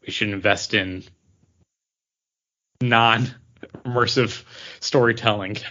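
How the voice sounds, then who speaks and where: Bright-voiced man on a lo-fi podcast. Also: on the low side, steady tone, slow pace. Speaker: podcast co-host. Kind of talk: casual chat about theme park attractions.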